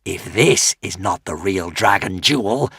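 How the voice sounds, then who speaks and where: A man's voice: low-pitched, expressive, a little slow, creaky, bright, forceful tone, breathy edge, no room sound, studio quality, exaggerated voice. A man voicing a huge, savage, ancient male sea dragon who hisses with menace. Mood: menacing. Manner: hissing, furious, menacing.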